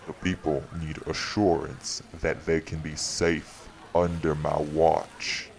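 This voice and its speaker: An extremely low male voice with plenty of gravel, a man acting a vampire who rules a city. He is measured and wry, weary yet protective, with dry teasing in an audio drama. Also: level tone, slight background noise, full character voice. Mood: stern, defensive.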